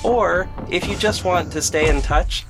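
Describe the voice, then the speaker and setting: A man's voice: high voice, a little slow. A man who writes, directs and produces the show, reading its closing credits in a plain, friendly way. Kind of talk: credits read for a podcast. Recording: clear music bed.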